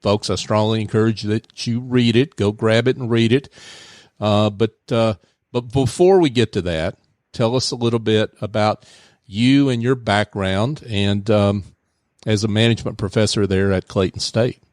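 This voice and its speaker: A man hosting a radio business show, chatting in a relaxed way with many fillers from a studio. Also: on the low side, moderate pace, dry recording. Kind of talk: casual radio interview.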